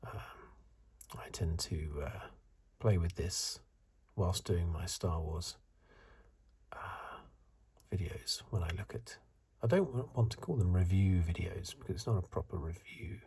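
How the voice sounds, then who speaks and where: A man in his fifties giving soft-spoken, whispery ASMR commentary in a hesitant, halting, unscripted way, with a breathy voice. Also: sits low. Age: 50s. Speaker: host of an ASMR video channel.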